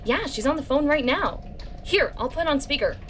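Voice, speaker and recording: A woman playing a plucky, excitable, flippant teenage girl in an audio drama. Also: high-pitched, moderate pace, soft background music.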